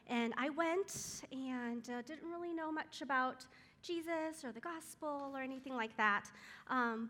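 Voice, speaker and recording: A woman whose first language is Chinese speaks to a church group in a bright, fairly high voice. She talks in an earnest, hesitant way, filling pauses with ums. Her voice rings out with a long reverb in the room. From Taiwan or China, raised in Seattle area. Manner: hesitant, many ums, soft-spoken and earnest. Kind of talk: casual talk to a group.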